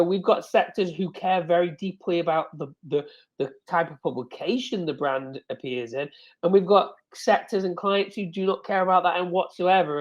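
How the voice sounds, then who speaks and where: A man's voice: on the high side, muffled recording. A man with a cold, speaking as a panelist on a business webinar, rambling and repeating words as he thinks aloud. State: sniffly with a cold. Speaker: director of SEO, panelist. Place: video call.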